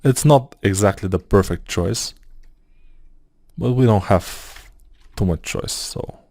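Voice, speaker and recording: A man's voice: lowish voice, takes it slowly, dark tone, dry recording, studio quality. A man with a Bulgarian accent, a non-native English speaker, talking through a 3D modeling tutorial as he works, thinking aloud with hesitant fillers. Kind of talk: screen-recorded software tutorial.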